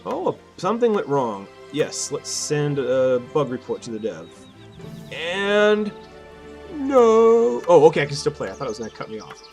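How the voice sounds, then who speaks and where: Male let's play host, lively intonation, self-deprecating thinking aloud, game music underneath. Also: fairly high pitch, normal speed. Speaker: gaming video host. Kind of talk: casual let's play commentary. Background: game music and effects.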